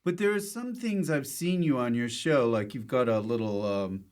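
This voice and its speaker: A man hosting a casual interview, asking questions in a halting, wry way. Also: medium pitch, expressive, a little mellow. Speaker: podcast host.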